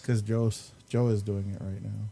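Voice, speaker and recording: Slow-talking man with a mellow, halting delivery. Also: on the low side, little pitch movement, creaky. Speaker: podcast host. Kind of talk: casual chat.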